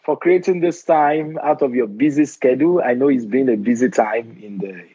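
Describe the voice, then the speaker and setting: A Nigerian man in his forties with a Nigerian accent, hosting a conversational interview in an earnest, measured way with frequent restarts. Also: medium pitch, warm tone, slightly rough, dry recording, dull audio. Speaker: host.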